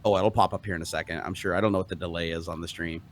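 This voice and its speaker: A man's voice: sits low, fairly even intonation, rapid-fire. A man cohosting a podcast, talking casually and animatedly, swearing freely. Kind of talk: casual chat.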